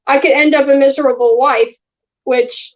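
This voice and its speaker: A young woman with a very high voice talks casually as a podcast co-host. She is earnest and hesitant, often stopping and restarting her sentences. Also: steady tone, normal speed, dull audio. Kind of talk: casual chat.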